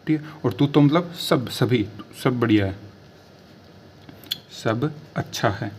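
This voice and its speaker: A man teaching a language lesson, repeating each phrase word by word with drawn-out pauses. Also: mid-range voice, a little mellow, slight background noise. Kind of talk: slow spoken language lesson.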